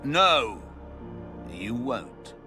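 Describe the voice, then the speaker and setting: An actor playing a smug, gloating cult mage villain in a fantasy video game, with a mocking, theatrical delivery. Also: male voice, medium pitch, big pitch swings, drawn-out words. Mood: menacing, gloating. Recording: background music under the voice.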